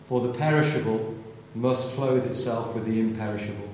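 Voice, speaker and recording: A man reading scripture aloud in a measured, emphatic way. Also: medium pitch, fairly even intonation, darkish tone, slight background noise. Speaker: scripture reader. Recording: distant mic in echoey church.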